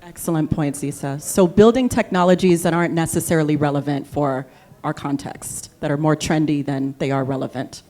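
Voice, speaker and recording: A woman with a Liberian accent moderating a panel discussion, briskly affirming and summarizing the speakers' answers. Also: sits low, warm tone.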